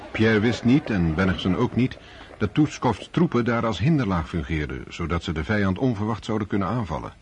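An actor narrating an epic war story for a radio drama, in a solemn, scripted style with a husky voice. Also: male voice, on the low side, animated, fairly quick, muffled recording, slight background noise, natural voice. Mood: measured, solemn.

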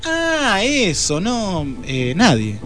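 A man's voice: sits high, wildly swooping pitch, extremely slow, a bit bright, soft background music, voice pushed up, exaggerated voice. An Argentine man playing a bumbling, evasive private detective with a hesitant, muttering manner in a radio play. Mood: flustered, evasive. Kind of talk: radio drama acting.

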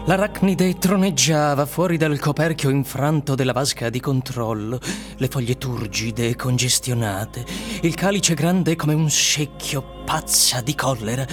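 An actor playing a grave storyteller, narrating an eerie tale for radio drama in a measured, solemn manner. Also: male voice, medium pitch, lightly acted. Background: faint music.

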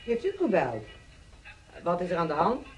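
An actress playing a stern, no-nonsense female head nurse in a radio drama, brisk and reproving. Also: fairly low pitch, wildly swooping pitch, muffled recording, over music.

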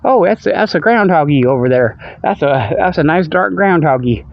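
An older man with a slightly high voice hosts a homespun radio show. He wanders through a casual, folksy monologue and jokes as he goes. The audio is dull and lo-fi. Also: animated, normal speed, creaky, dry recording. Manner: folksy, meandering, chuckles at own jokes. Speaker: host of a hobby internet radio show. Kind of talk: rambling casual monologue, joking. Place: outdoors by a river.

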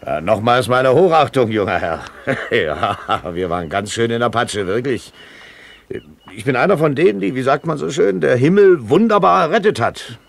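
A man's voice: medium pitch, sing-song, moderate pace, vocal fry. An actor playing a jovial, sly middle-aged one-legged ship's cook with folksy, wheedling seaman's patter in a radio play. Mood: jovial, flattering. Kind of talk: 1970s German radio play acting.

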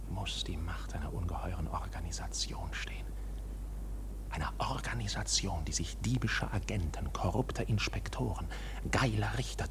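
An actor playing a defiant, agitated accused bank officer in a German radio drama, speaking in an impassioned, declamatory way. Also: male voice, fairly low pitch, darkish tone, slight background noise. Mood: conspiratorial whisper.

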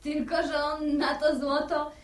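An outspoken young woman of the village in a radio play. Her voice is high and pert, with clear room echo around it. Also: flat delivery, unhurried. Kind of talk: radio drama acting.

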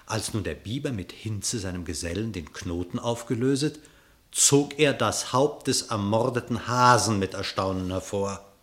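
A man narrating a verse fable for a radio drama with measured, formal diction. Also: on the low side, expressive, fairly bright tone.

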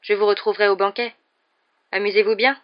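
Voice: mid-range voice, little pitch movement, fairly quick, highs cut off, lightly acted. A book narrator voicing an earnest, polite teenage schoolboy who is rather downcast. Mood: feigned casual. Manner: earnest, feigned casualness. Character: downcast adolescent schoolboy hero, polite but glum.

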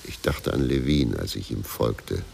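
An actor playing a bitter, brooding former police investigator in a radio crime drama, speaking tersely. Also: male voice, a bass voice, expressive, a little slow, a little mellow, slight background noise, full character voice. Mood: grim narration. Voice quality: gravelly.

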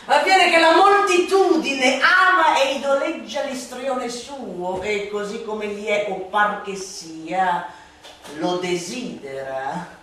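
A bitter, mocking female satirist declaims a radio-theatre rant in a fairly high voice. Her delivery is grandly theatrical and swings from drawn-out murmurs to shrill cries. The sound is very reverberant and set back from the microphone. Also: sharp tone. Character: bitter, mocking satirist ranting against a tyrant. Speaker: stage actress performing a literary monologue. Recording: very echoey, distant, slightly noisy hall.

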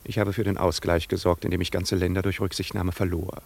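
Husky, sardonic gentleman. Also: deep voice, little pitch movement. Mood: sardonic, cool. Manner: dry, ironic, measured. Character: sardonic, urbane upper-class husband, icily polite. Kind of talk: 1950s German radio drama acting.

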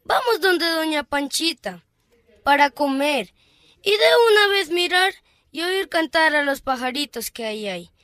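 Woman acting a very high, eager little boy. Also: measured pace, no echo. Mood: pleading, excited. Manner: excitable, pleading. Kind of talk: amateur radio drama acting.

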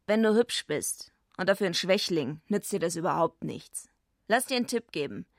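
An actress playing a blunt, defiant, streetwise young female wrestler with a husky, gravelly voice in a radio drama. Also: lowish voice, lively intonation, moderate pace, no room sound, studio quality. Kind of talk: radio drama acting and first-person narration.

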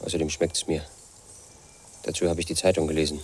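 Lead in a radio drama: an obsessive, paranoid 38-year-old loner, deep-voiced. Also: male voice, little pitch movement, a little fast, a little hiss or hum. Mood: brooding. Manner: pedantic, confiding, obsessed with statistics and codes. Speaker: lead actor in a radio play. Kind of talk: first-person radio drama monologue.

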